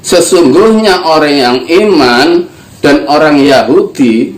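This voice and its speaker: A man's voice: a little high, lively intonation, slow pace. Older man preaching in an echoey room. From East Java, Indonesia. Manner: earnest, emphatic preaching. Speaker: spiritual teacher. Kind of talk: religious teaching talk.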